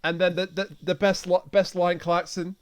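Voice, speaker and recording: A man with a smooth, crisp voice sitting a little high in pitch. He talks casually, as a co-host in a relaxed review discussion. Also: moderate pace. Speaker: podcast co-host reviewing audio dramas.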